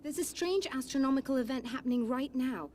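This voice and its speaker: A woman playing an intelligent scientist in a thriller game, explaining things in an earnest, articulate, brisk manner. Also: sits high, background noise, natural voice. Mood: concerned, focused. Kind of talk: dramatic game acting.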